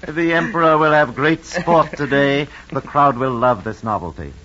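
A man acts a careful, scheming Roman counsellor in an old radio play, deferential and formal. The voice is mid-pitched with a tense, bright edge, on a muffled recording with faint noise. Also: moderate pace, natural voice. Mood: flattering, careful. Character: cautious, scheming mentor and adviser to emperor. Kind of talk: old-time radio drama acting.

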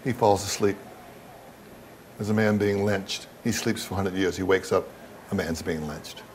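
A low-pitched man answers interview questions candidly and bluntly. He leans on his words with emphasis and repeats phrases to drive them home. There is some background noise under the voice. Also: fairly even intonation, moderate pace.

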